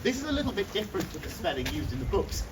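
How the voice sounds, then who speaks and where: A man's voice: fairly high pitch, sing-song, very fast, very noisy. A man working as a tour guide outdoors, leading the group in a playful, theatrical way. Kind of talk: guided walking tour patter. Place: outdoors in a foggy graveyard.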